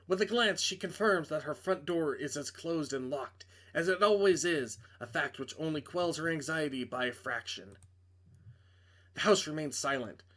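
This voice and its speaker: Man, higher-pitched voice, dramatic story narration, casual asides, corrects his own slips. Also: fairly bright tone. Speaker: host reading a visual novel aloud.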